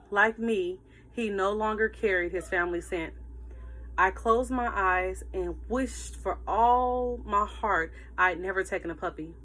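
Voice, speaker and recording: Bright, expressive woman reading to children. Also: mid-range voice, low-quality recording. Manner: expressive, emphatic storytelling. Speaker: teacher reading aloud to pupils. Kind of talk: animated children's read-aloud with asides to listeners.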